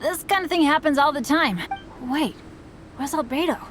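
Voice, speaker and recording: Woman voicing an eager, high-voiced teenage boy adventurer. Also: normal speed, a little hiss or hum, lightly acted. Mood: dazed, shrugging it off. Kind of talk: anime video game voice acting.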